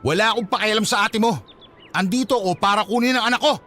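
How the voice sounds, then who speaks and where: A man's voice: sits high, quick pace, sharp tone. An actor playing a domineering, impatient husband and father in a radio drama, barking insistently and defensively. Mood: angry, defensive.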